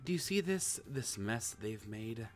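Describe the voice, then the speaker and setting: A man's voice: mid-range voice, big pitch swings, a little slow, soft background music. A man voicing an exasperated, bossy young male dorm leader in a visual novel, indignant and commanding. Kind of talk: visual novel character read-out.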